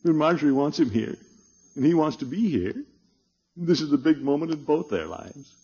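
An actor playing a flustered, blustery middle-aged uncle in a 1950s radio comedy. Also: male voice, a little high, animated, moderate pace, mellow, husky. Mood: anxious, subdued. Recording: dull, muffled old radio recording.

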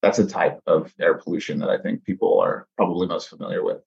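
A man's voice: low voice, quick pace, vocal fry, lo-fi sound. A man in his thirties, born in Mexico and raised in Texas, talking as a guest in a casual podcast interview with lots of "uh" fillers. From born in Mexico, raised in South Texas. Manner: frequent "uh" fillers, animated and friendly. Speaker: podcast guest, air pollution scientist.

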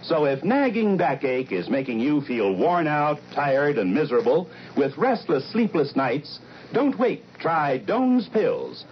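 A man's voice: fairly high pitch, big pitch swings, a little slow. A man announcing a scripted commercial in a vintage radio style. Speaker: advertisement announcer. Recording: dull, slightly noisy vintage radio.

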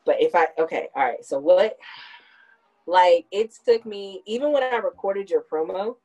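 A woman's voice: lowish voice, expressive, moderate pace, warm tone, poor audio. A woman in her thirties hosting a podcast, talking things through in a reflective, conversational way. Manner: reflective, explains at length. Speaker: podcast host. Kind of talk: casual conversational chat.

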